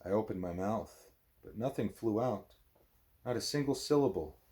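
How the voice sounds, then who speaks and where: A man reading an audiobook aloud as an expressive story narrator. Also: on the low side, moderate pace. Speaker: audiobook reader.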